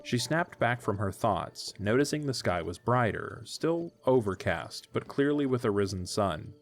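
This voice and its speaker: A man's voice: medium pitch, expressive, a little slow, soft background music. A man narrating a scripted story as the narrator of an audio drama.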